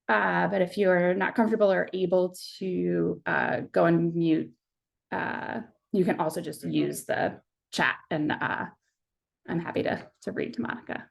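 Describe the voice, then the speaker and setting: A woman's voice: mid-range voice, gravelly. A woman hosting an online community meeting on a video call, talking casually with many fillers. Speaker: webinar host.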